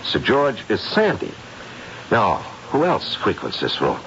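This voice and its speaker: Deep-voiced, theatrical psychic detective. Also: male voice, wildly swooping pitch, fairly bright tone, heavily acted. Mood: probing, brisk. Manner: theatrical, deliberate, with hesitant probing pauses. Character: methodical psychic detective, confident and probing. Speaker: narrator and lead character. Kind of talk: 1970s radio drama acting. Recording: muffled vintage radio broadcast, faint noise.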